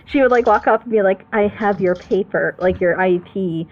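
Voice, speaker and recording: A woman's voice: mid-range voice, fairly quick, dull audio. A woman hosting a casual reaction show, giving opinions in an emphatic, rambling way. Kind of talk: casual chat, opinionated commentary.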